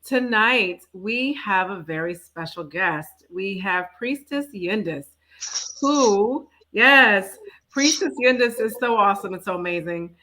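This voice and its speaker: Bright-voiced, effusive woman hosting a chat. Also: mid-range voice, lively intonation, unhurried, rough recording. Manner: effusive, chatty, playful storytelling. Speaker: talk show host. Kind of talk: casual welcoming conversation and introduction.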